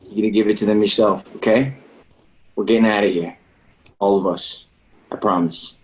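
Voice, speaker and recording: An actor playing an earnest, guilt-ridden young leader in a table read over a video call. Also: male voice, lowish voice, fairly even intonation, normal speed, natural voice, low-quality recording. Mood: reassuring, determined. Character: earnest, guilt-ridden young man leading trapped boys. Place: remote video call reading.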